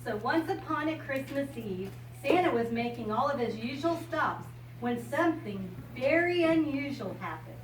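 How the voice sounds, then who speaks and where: An older American woman speaks to young children in a simple, explanatory way, as a visiting storyteller would. Her voice is on the high side. The recording is distant and echoey, with strong noise behind her. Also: animated, moderate pace, few pauses. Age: 60s or older. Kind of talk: informal talk and picture-book reading to children. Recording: echoey room, distant mic, loud background noise.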